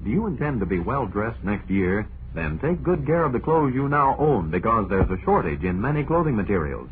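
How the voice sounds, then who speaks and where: Vintage commercial announcer: male, mid pitch, lively swooping delivery, tinny broadcast sound. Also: brisk. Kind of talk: 1940s radio advertisement. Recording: tinny, noisy old radio broadcast.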